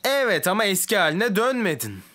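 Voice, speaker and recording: A woman's voice: mid-range voice, big pitch swings, a little fast, fairly bright tone. A woman voicing a cocky, playful young ninja boy with a teasing, boyish manner in a children's cartoon. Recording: music behind. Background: cartoon music.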